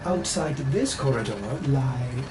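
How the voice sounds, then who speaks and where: Low, echoing sci-fi companion. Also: female voice, lively intonation, slow, very noisy, exaggerated voice. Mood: dry, advising. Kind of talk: scripted game dialogue.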